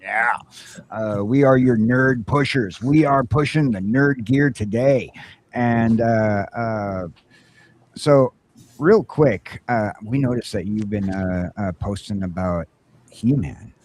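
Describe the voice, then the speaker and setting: A low-pitched male host who talks in a loud, excitable way. He hollers greetings, whoops, and keeps the chat loose and casual. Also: takes it slowly, slight background noise. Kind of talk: casual morning show chat.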